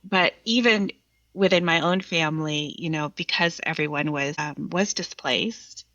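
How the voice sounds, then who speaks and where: A woman's voice: deep voice, measured pace, pushed and bright, dry recording, dull audio. A Vietnamese American woman poet speaking thoughtfully and reflectively as a guest. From Vietnamese American, from the United States. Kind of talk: reflective conversation and poetry reading.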